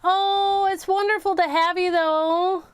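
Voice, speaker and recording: Woman, high voice, slow pace, casual craft livestream host, chatty, thinking out loud. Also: fairly even intonation, no room sound. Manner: chatty, self-narrating, repeats words. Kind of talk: casual live craft chat, thinking aloud.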